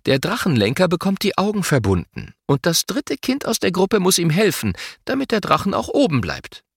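A man takes on a mother in a storybook, firm yet encouraging and instructive. The voice is lifted high, with lively swings. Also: normal speed, gravelly, breathy edge, dry recording, studio quality, heavily acted. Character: encouraging, firm mother explaining game rules.

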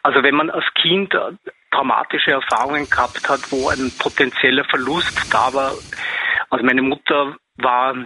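A man, a caller speaking earnestly and haltingly, often repeating himself. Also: mid-range voice, fairly even intonation, pushed and bright, slight background noise. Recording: phone line.

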